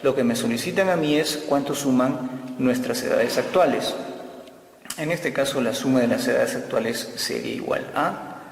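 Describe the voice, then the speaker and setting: A man's voice: mid-range voice, creaky, a little hiss or hum. A man teaching an algebra lesson step by step, repeating key phrases as he works through the problems. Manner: slow, repeats key phrases while explaining. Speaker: math teacher. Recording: echoey room with long reverb.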